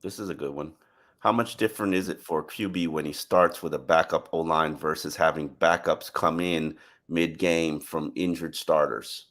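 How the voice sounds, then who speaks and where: A man's voice: very deep voice, fairly even intonation, moderate pace, fairly bright tone. A man hosting a casual sports podcast, breaking down the team in an analytical, emphatic way. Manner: analytical, emphatic, plugs the show. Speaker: podcast host.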